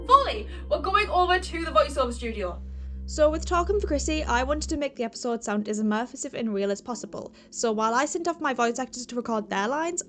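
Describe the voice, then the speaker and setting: A woman's voice: high voice, a bit bright, far from the mic. A woman talking in a casual, chatty vlog style as host, rambling and often interrupting herself. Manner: rambling, halting, self-interrupting. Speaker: video creator and host. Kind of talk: casual chatty vlog about audio production.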